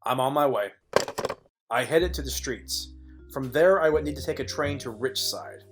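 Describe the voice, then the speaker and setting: Casting: man to play world-weary private eye narrator for noir spoof audio play, mid-range voice, dry deadpan wit. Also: mid-range voice, normal speed, music in the background, close to an everyday voice. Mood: exasperated. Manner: deadpan, dryly wry. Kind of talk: film noir parody narration.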